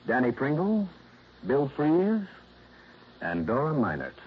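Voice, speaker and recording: An actor playing a hard-boiled insurance investigator, narrating dryly and wryly in a 1950s radio drama. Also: male voice, mid-range voice, huge pitch swings, slow pace, very noisy. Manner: dry, clipped, wry. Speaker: narrator and lead. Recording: muffled old radio broadcast with music.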